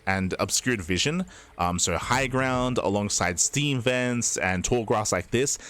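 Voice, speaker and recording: A man from Australia with a mild Australian accent giving fast, chatty live commentary on a strategy game, with lots of fillers. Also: on the low side, a bit bright. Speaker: esports caster. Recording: music playing behind.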